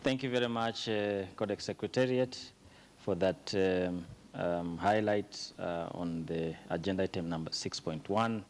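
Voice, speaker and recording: A man's voice: deep voice, unhurried. A man chairing a formal committee meeting, speaking formally with many ums and uhs.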